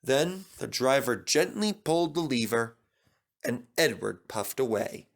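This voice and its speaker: A man narrates a children's storybook at an unhurried, slow pace. His delivery is very animated, rising and falling widely in pitch as a storyteller reading from a script.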